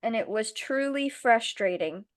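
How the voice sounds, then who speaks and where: A woman's voice: a little high, animated, unhurried, no echo, clean studio sound. A woman co-hosting a casual podcast chat, sick with a voice that cuts in and out, blunt and swearing freely. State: sick, voice going in and out. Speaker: podcast co-host.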